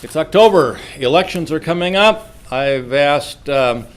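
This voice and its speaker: A man hosting an informal club meeting, speaking to the room in a folksy, halting way with repeats and self-interruptions. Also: fairly high pitch, lively intonation. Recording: noisy live meeting room recording.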